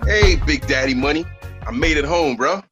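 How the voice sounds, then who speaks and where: A man's voice: on the high side, normal speed, a bit bright, dull audio, soft background music, close to an everyday voice. A man playing a disgraced former star who returns home, sounding rueful and subdued, in a radio drama.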